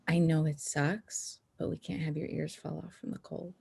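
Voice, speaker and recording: Husky, low-voiced teenage girl, acted by a woman. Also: little pitch movement, normal speed, dark tone, full character voice. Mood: gentle. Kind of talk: screenplay table read.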